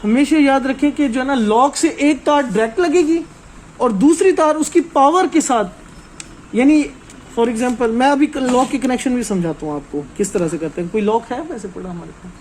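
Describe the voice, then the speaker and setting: A man with a very high-pitched voice works as a technical trainer, walking through material in an informal, hands-on way. He explains step by step and keeps checking that listeners follow. There is some background noise in the recording. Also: normal speed, darkish tone, poor audio.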